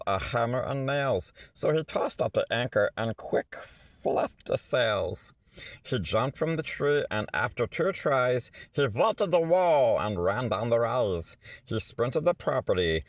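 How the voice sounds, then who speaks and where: A man's voice: mid-range voice, no echo. A man reading a rhyming children's picture book aloud in a theatrical, rhythmic way, stretching words for effect. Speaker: storybook reader.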